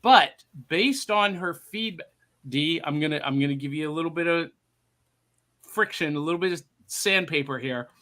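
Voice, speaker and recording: Man host, high and bright voice, teasing banter, casual. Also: moderate pace. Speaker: podcast host. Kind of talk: casual chat.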